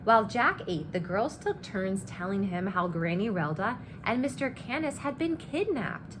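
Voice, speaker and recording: Female storyteller for a children's book read-aloud, lively melodic delivery, noticeable background noise. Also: medium pitch, moderate pace. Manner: animated, expressive storytelling. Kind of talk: reading a children's novel aloud with asides.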